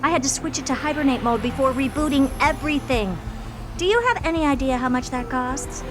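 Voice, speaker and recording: A woman voices a proud genius scientist from a video game, high-pitched and bluntly dismissive. Music plays behind her. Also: brisk, close to an everyday voice. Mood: haughty. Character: haughty self-declared genius scientist woman.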